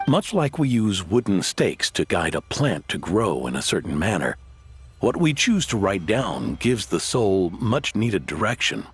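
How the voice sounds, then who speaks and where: An actor playing a kindly, thoughtful inventor in a video game, speaking gently and patiently like a father figure. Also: lowish voice, sing-song, measured pace, slightly rough, heavily acted.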